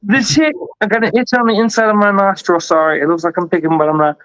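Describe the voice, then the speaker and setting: A man who has been drinking, rambling with profane, animated riffs in a casual chat. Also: sits high, fast, no echo. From Texas. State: tipsy from drinking. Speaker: show host. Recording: dry, slightly dull lo-fi sound.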